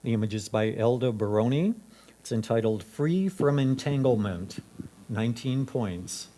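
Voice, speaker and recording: A man's voice: mid-range voice, expressive, normal speed, dark tone. A man announcing titles, names and scores at a club competition.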